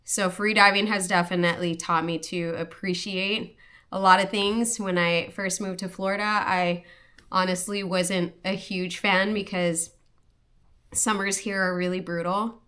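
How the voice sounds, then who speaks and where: A woman's voice: fairly low pitch, little pitch movement, unhurried, sharp tone, clear, resonant tone. A young woman from Hawaii talking casually and earnestly to camera, with lots of "like" and restarts. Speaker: vlogger. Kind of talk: casual personal talk to camera.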